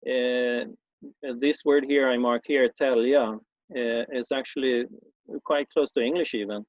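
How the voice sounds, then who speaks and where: A man's voice: mid-range voice, steady tone, no room sound, dull audio. A man from Sweden with a Swedish accent, a native Swedish speaker and former schoolteacher, giving an informal explanatory talk in a hesitant way, full of fillers and restarts. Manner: hesitant, halting with many fillers and repeated restarts.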